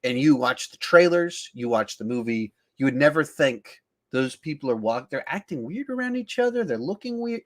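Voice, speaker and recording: A man's voice: medium pitch, animated, moderate pace. A man hosting a casual film podcast, explaining his points in a conversational way. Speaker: podcast host.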